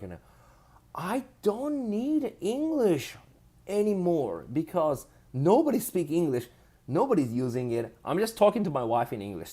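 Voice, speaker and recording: A man's voice: a little high, darkish tone. A man with a Japanese accent hosting a casual live stream, reading viewer comments aloud and laughing often as he chats.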